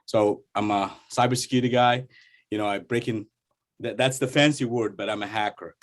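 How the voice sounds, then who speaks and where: A man from Somalia, introducing himself informally and haltingly as a participant in an online workshop. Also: lowish voice, steady tone, heavy background noise. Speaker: workshop participant, cybersecurity entrepreneur. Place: online video call.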